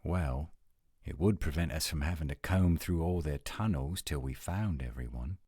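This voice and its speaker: A man's voice: a bass voice, moderate pace, a little mellow, husky, dry recording, studio quality. A man playing a testy, headstrong werewolf, terse and challenging, in an audio roleplay drama. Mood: incredulous, reluctant. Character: testy, headstrong male werewolf, protective of his pack.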